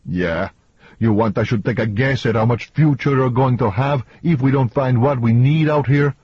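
A man's voice: mid-range voice, normal speed, breathy edge, dry recording, muffled recording, voice pushed up. A man voicing an exhausted, impatient man who leads a desperate covert mission in an audiobook. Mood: menacing. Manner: demanding, impatient, swearing. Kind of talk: audiobook character dialogue.

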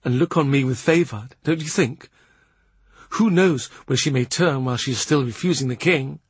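A man's voice: medium pitch, normal speed, husky, dry recording, natural voice. A man voicing a young court lute player who chatters gossip. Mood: gossipy.

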